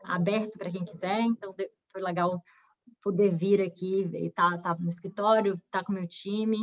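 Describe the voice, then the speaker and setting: A woman's voice: medium pitch, steady tone, moderate pace. Brief: woman, 20s, bahian accent, talkative guest, muffled video-call sound. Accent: Bahian Brazilian Portuguese. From Bahia, Brazil. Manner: chatty, many fillers and restarts. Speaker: guest, recruiter. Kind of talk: webinar interview. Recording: dull lo-fi video call audio.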